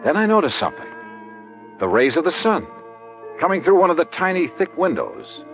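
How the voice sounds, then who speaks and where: Radio detective lead: tough, wry, clipped narrator with animated pitch, dull vintage recording. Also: male voice, normal speed, soft background music. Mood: tense narration. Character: tough, wry male insurance investigator narrating his case. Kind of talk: old-time radio detective narration and acting.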